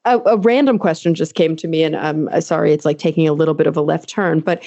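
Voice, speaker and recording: A young adult woman hosting a casual podcast interview, chatty and animated, laughing often and using fillers like "like" and "um". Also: sits low, fast talker, no room sound, muffled recording. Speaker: podcast host.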